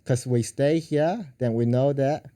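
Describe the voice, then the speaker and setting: A man in his thirties from China, speaking English with a Chinese accent, halting and searching for words as a podcast guest. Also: mid-range voice, mellow. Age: 30s.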